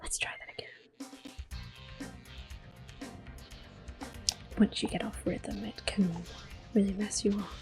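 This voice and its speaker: A woman's voice: medium pitch, flat delivery, slow, creaky, long pauses. Whispering woman doing ASMR game commentary. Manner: hushed, gentle. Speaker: ASMR gaming host. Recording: soft music in the background. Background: quiet game music.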